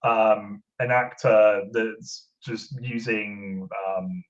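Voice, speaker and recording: A man's voice: lowish voice, fairly even intonation. Hesitant man, dull audio. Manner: hesitant, halting. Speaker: podcast co-host. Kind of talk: casual podcast chat.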